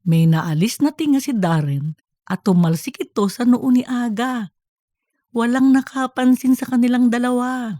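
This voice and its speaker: Woman narrator, slightly high, dry sound. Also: moderate pace, warm tone, no echo. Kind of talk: scripted comedic audiobook narration.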